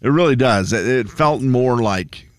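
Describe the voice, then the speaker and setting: A male morning radio host with a bouncy, animated delivery, playfully ribbing his co-hosts in casual banter. The studio sound is dry and close to the mic. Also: mid-range voice, dry recording. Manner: playful, teasing, quick asides.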